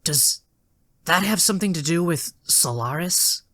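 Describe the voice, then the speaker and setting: A man's voice: a little high, animated, a little slow, pushed and bright, no room sound, clean studio sound, voice pushed up. A man voicing a young hedgehog hero, earnest and challenging, in a dramatic audio story with urgent questioning. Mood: wary, questioning. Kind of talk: dramatic audio fan-fiction character acting.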